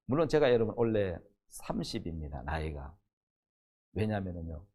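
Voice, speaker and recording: A man's voice: low-pitched, very animated, moderate pace, dark tone, husky. A man in his sixties, a pastor teaching the Bible in a sermon, emphatic and often posing questions that he answers himself. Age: 60s.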